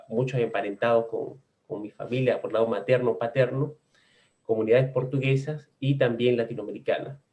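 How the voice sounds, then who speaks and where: Measured Venezuelan researcher, dull lo-fi sound. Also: male voice, mid-range voice, darkish tone. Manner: measured, with frequent "eh" pauses. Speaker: guest panelist, researcher. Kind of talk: academic discussion.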